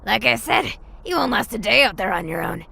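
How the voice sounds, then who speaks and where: A woman playing a tough, protective old adventurer with a raspy voice in an audio drama. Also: on the high side, lively intonation, a little fast, bright, forceful tone, full character voice. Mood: bossy, urging. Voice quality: raspy, gravelly.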